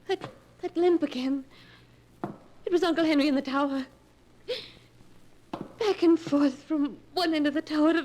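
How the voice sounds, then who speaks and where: High-voiced, frightened young radio-drama heroine. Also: female voice, a little mellow. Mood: haunted, fearful. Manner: breathless, halting, repeating words. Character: frightened young woman fearing she is going insane. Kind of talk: old-time radio horror acting. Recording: noisy old radio recording.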